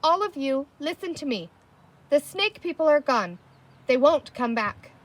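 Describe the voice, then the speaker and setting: A woman voicing a cheerful, kind but bossy young girl in a storybook, speaking firmly and briskly. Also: sits high, very animated, pitched above the performer's own voice. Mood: commanding. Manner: firm, brisk, emphatic.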